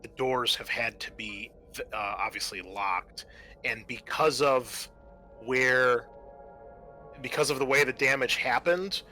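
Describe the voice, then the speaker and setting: A man's voice: mid-range voice, slow pace, sharp tone, soft background music. A man acting as game master, narrating a tabletop role-playing game in an informal way.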